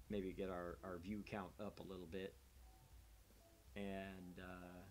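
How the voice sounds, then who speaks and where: A man's voice: sits low, a little hiss or hum. A man hosting a small gaming channel's livestream, rambling casually and conversationally, with a self-deprecating way of talking.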